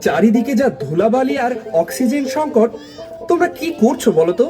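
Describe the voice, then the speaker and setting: A woman's voice: a little high, very animated, fairly quick. A woman voicing a fussy, bustling uncle in a children's puppet show, exasperated and bossy. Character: fussy uncle, grumbling about pollution and bossing youngsters. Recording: music behind the voice.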